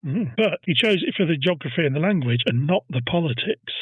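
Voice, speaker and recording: Guy telling a history story on a podcast, bit husky, thin phone-ish sound. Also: on the high side, expressive, fairly quick, no room sound, phone-quality sound. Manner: teasing, banters with co-host. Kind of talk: casual comedic storytelling.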